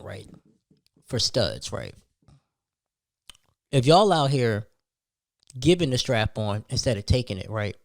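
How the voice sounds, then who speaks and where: Male podcast host, average pitch, emphatic and informal storytelling full of slang, repeated phrases and rhetorical questions. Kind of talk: casual solo rant.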